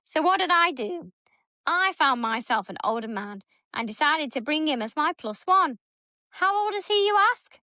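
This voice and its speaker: A woman's voice: high-pitched, lively intonation, normal speed, sharp tone, dry recording. A woman in her thirties co-hosting a podcast, reading a story aloud in casual chat, blunt and swearing freely. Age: 30s. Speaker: podcast co-host.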